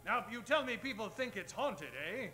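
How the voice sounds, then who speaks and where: A man's voice: extremely high pitch, expressive, moderate pace, sharp tone, full character voice. A man playing a swaggering, scheming Old West hero with theatrical delivery in a comedic radio play. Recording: music behind the voice.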